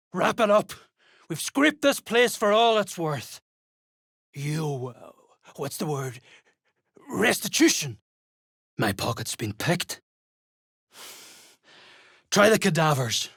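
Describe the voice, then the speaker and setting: An actor playing a gruff, threatening duergar mercenary in a fantasy game, husky and gravelly, talking in coarse, blunt bursts. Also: male voice, on the high side, very animated, slow, long pauses, a bit bright, dry recording, studio quality, heavily acted. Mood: barking orders. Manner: coarse, blunt, barking orders. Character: gruff male duergar mercenary clan fighter, surly and threatening. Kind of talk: fantasy game character acting.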